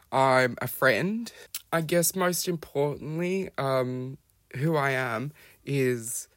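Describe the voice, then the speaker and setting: An Australian man telling his own life story in a reflective, halting way, often pausing to search for words. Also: medium pitch, fairly bright tone, no room sound, studio quality. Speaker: podcast guest sharing his life story. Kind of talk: personal storytelling monologue.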